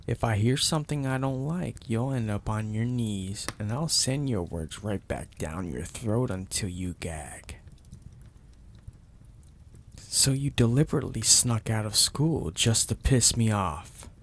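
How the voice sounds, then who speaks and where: A man playing a possessive, domineering mafia boss in an intimate ASMR roleplay, speaking deliberately and with teasing menace in a gravelly, husky, breathy voice. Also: lowish voice, a little hiss or hum. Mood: taunting, threatening, then possessive, smug. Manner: slow, deliberate, menacing, florid wording.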